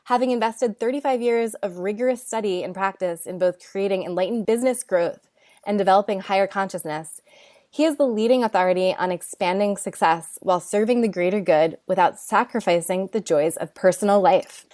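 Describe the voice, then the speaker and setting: A woman's voice: mid-range voice, fairly quick, darkish tone. Enthusiastic woman podcast host. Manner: enthusiastic, admiring questions. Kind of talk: scripted intro and interview.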